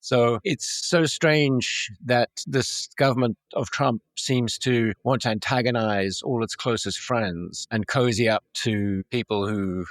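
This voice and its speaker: A man's voice: mid-range voice, takes it slowly, few pauses, dry recording. A man hosting a conversational interview, putting questions about current affairs to a guest. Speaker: interviewer, show host.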